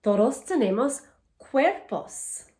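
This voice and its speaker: Female elementary teacher for a children's read-aloud: higher-pitched voice, very unhurried delivery, simple and encouraging address to young listeners. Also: big pitch swings, warm tone. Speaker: elementary school language teacher.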